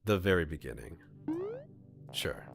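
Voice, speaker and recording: Low gravelly male streamer. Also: lively intonation, unhurried, music in the background. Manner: reads choices aloud, chatty, laughs often. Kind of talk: casual game stream commentary.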